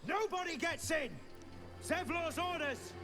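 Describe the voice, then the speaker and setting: A man playing a stubborn gate guard in a fantasy video game, calling out forcefully. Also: very high voice, measured pace, music in the background. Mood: stern, alarmed. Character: stubborn male gate guard shouting orders.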